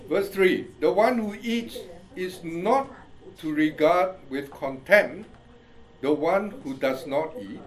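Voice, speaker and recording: Lively high-voiced Indian-accented male pastor. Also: rough recording. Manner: slow scripture reading, constant "you see?" check-ins, chuckles. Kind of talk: sermon, Bible teaching.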